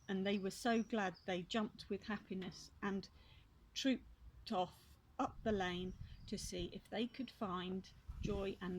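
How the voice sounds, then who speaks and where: An older woman reading a children's story aloud, expressively, with gently chatty asides to young listeners. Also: medium pitch, poor audio. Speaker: grandmother reading a children's book. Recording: clear background noise and clicks.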